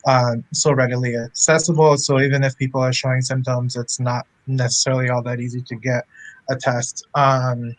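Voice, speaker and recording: A young man from Cameroon chats as a guest over a video call, filling pauses with 'you know' and 'like'. His voice sits close and dry. His pitch stays at a middle level and fairly even. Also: dry recording, poor audio. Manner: chatty, many fillers, light laughs. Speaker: medical student guest. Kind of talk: casual interview talk.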